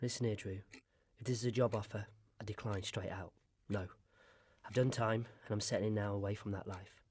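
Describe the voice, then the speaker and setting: A man acting in a radio drama as a gruff, sardonic, middle-aged retired criminal who is stubborn and dismissive. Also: fairly low pitch, fairly even intonation, fairly quick, darkish tone. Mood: weary, firm. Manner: curt, sardonic.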